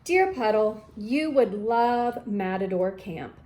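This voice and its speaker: A woman's voice: medium pitch, lively intonation, slow, mellow, slight background noise. A woman voicing an eager, adventurous male storybook character, reading his letters to a friend expressively. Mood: affectionate.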